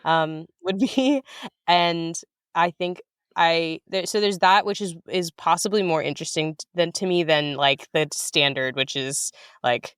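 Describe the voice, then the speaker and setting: An American woman with a smooth, clean voice, recorded close and dry, chats informally as an interview guest. She is enthusiastic and wanders through asides as she talks about her work. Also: on the low side, measured pace, no echo. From United States. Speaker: writer-director guest. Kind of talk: casual interview chat.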